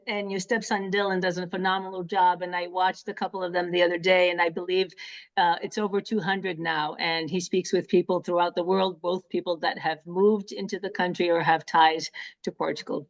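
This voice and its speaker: A woman hosting a webinar, moderating in a conversational, informal way. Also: on the low side. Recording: slightly dull call audio.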